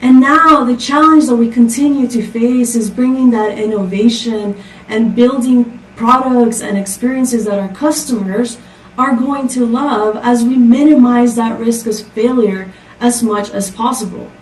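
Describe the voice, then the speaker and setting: A woman's voice: fairly high pitch, unhurried, a little hiss or hum. A woman from Costa Rica giving a prepared tech conference talk, upbeat and full of rhetorical questions. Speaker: conference speaker. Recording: echoey, distant from the mic.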